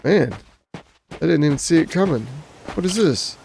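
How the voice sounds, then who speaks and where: Very gravelly man doing game commentary. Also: a little high, expressive, normal speed, a little mellow, a little hiss or hum. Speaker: let's play host. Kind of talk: casual gaming commentary. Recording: music behind the voice.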